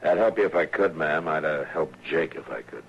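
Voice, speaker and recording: An American man playing a steady, weary frontier marshal in a radio western, measured, polite and restrained. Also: very deep voice, creaky, dull audio, exaggerated voice. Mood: calm, firm. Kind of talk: 1950s radio western acting. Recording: old noisy radio recording.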